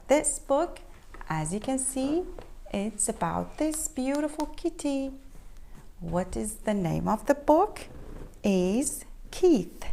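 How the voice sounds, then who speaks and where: A woman reading a picture book aloud to children in an expressive, animated storytelling style, inviting them to join in. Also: high voice, a little hiss or hum. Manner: expressive, asks the listening children questions.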